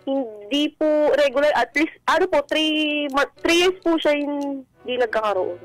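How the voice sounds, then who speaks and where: A woman's voice: high voice, moderate pace, faint music behind, low-quality recording. A Filipino woman calling in to a radio show, chatting casually in a polite, somewhat hesitant way. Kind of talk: casual chat.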